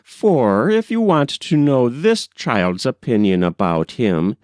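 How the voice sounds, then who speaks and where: A man's voice: medium pitch, animated, slow pace, darkish tone, dry recording, clean studio sound. A man reading a storybook aloud and voicing a pert, witty, precocious office boy with a droll, mock-solemn manner. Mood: cheeky, confiding. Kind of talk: storybook character voice in audiobook reading.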